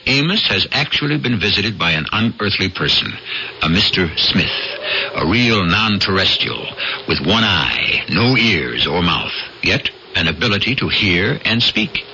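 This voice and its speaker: A man's voice: low-pitched, very animated, unhurried, vocal fry, pushed and bright, slight background noise. A man hosting a radio drama series, narrating from a script as a wry, theatrical storyteller. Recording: dull old radio broadcast. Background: prominent music.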